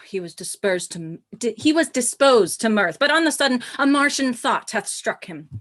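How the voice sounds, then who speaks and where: A woman's voice: a little high, lively intonation, moderate pace, fairly bright tone. A woman playing a capricious, jealous, imperious queen in a Shakespearean radio play, with melodramatic delivery.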